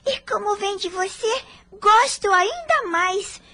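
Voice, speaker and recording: A woman's voice: extremely high pitch, expressive, slow pace, bright, forceful tone, exaggerated voice. A woman with a Brazilian accent playing a tender, timid and fragile poor orphan girl in a radio drama. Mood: shy delight. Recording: music under the voice.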